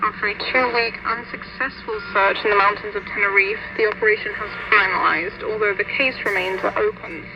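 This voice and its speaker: A woman's voice: medium pitch, fairly even intonation, brisk, hardly any pauses, lo-fi sound. Woman newsreader over noisy shortwave radio. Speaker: radio news presenter. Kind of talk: scripted news reading.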